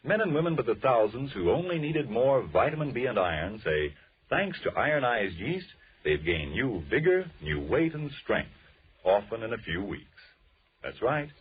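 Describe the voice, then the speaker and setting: A man announcing in 1940s radio style, formal, emphatic and measured. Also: mid-range voice, sing-song, breathy edge. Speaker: radio announcer and sponsor pitchman. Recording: muffled old radio recording.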